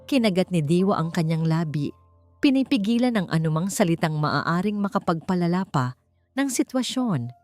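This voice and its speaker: Lower-pitched woman narrating a dramatic story. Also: big pitch swings, normal speed, a little mellow, no room sound. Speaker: audiobook narrator. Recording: music behind the voice.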